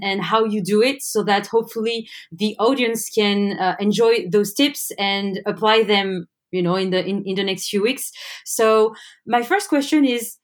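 Slightly high-voiced woman hosting an interview. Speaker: interviewer, podcast host. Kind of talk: conversational business interview.

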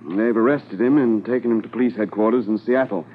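An actor playing a commanding Mountie officer in a 1950s radio drama, speaking in a measured, authoritative way. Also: male voice, fairly low pitch, fairly even intonation, slight background noise, natural voice. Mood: brisk, businesslike. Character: commanding male Mountie detachment officer narrating a case. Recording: tinny 1950s radio transcription.

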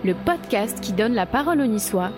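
A woman reading a podcast's scripted introduction in a smooth, even voice-over. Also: a little high, moderate pace. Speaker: podcast announcer. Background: music.

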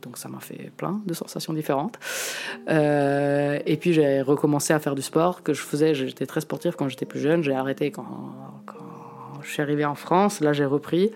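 Halting, frank young man from Italy. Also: on the high side, steady tone, darkish tone. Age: late 20s. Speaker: interviewee. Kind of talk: candid personal testimony.

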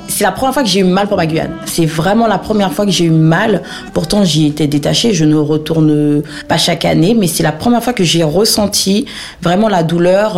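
A woman in her thirties from French Guiana talks through her memories in a halting, reflective way, pausing often to search for words. Her voice has a gravelly edge. Faint music plays quietly under her. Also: fairly low pitch. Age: 30s. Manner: hesitant, many pauses and fillers. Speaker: interviewee. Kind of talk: reflective personal testimony.

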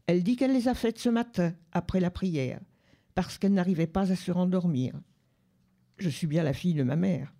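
Lady reading a novel excerpt out loud, very dark mellow tone, slow and calm. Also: on the low side. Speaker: reader at a book club radio show. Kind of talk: reading a literary excerpt aloud. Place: public library.

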